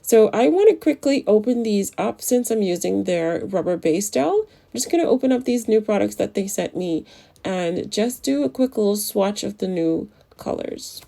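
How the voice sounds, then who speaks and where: Woman, gravelly mellow voice, casual nail tutorial chat, getting over a flu. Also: medium pitch, animated, normal speed. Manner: chatty, enthusiastic about products. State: voice recovering from flu.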